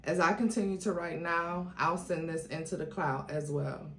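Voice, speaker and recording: Woman reading a book out loud all dramatic, lowish voice, bit of background noise. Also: fairly even intonation, moderate pace. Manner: theatrical, acts out quoted dialogue. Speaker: YouTube host reading a book aloud. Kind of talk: dramatic storytelling read-aloud. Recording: roomy echo with faint noise.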